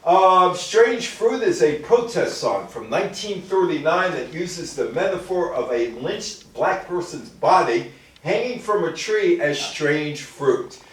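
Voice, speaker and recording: A man giving a public talk from notes in a halting manner, with asides and rhetorical questions. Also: a little high, lively intonation, a bit bright. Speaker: lecturer. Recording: very echoey room, distant microphone.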